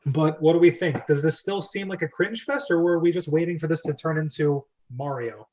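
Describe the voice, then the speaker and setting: A man with a slightly high voice leads a casual club discussion. He talks in a chatty, explanatory way. The sound is a little dull and lo-fi, like an online call. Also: brisk, darkish tone. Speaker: host of a script reading club. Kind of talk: casual group discussion with read-aloud script.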